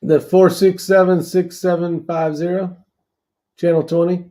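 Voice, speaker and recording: A man's voice: sits high, level tone, unhurried, dark tone. A man chatting casually as a co-host. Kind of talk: casual chat.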